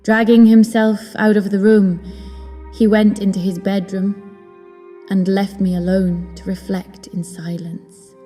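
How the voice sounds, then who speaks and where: An actress playing a frightened, remorseful young captive woman, narrating her ordeal in a hushed, solemn, deliberate way for a gothic audio drama. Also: mid-range voice, close to an everyday voice. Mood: shaken, solemn. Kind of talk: dramatic first-person narration. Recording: long hall reverb, music underneath. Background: music.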